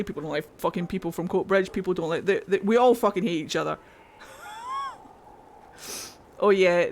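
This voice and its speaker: A young woman from Glasgow chatting casually on a gaming stream, swearing freely and laughing often as she rambles. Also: on the low side. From Glasgow, Scotland. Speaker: game streamer. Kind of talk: casual livestream chat while gaming.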